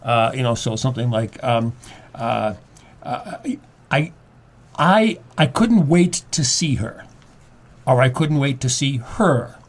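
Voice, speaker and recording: Older man explaining in halting, repetitive bursts. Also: mid-range voice, lively intonation. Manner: halting, repeats words, explanatory. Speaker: guest playwright and acting teacher. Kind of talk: conversational interview.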